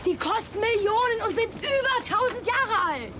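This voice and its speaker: An actress playing a flustered, eager schoolgirl in a German children's radio play, hesitant and excitable. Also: very high-pitched, moderate pace. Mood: excited. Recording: clear background noise.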